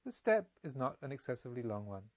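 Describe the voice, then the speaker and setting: A man's voice: medium pitch, very animated, fast, a little mellow, dry recording, highs cut off, lightly acted. A man voicing a genial, pompous elderly merchant father in an audiobook, with effusive, leisurely speech. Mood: pleased, sly. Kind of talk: audiobook character dialogue.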